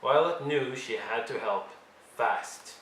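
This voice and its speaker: A man reads a storybook aloud for young children. His delivery is expressive and deliberate, leaning on key words for drama. The recording is roomy and echoey, with faint noise behind him. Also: mid-range voice. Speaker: storyteller reading a picture book aloud. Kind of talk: expressive read-along narration for young children.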